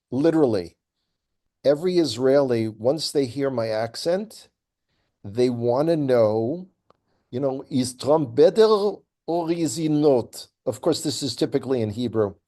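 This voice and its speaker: A man giving conversational political commentary as a guest, speaking in an emphatic, opinionated way and making his points deliberately. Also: mid-range voice, warm tone. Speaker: regular guest commentator on a news update programme.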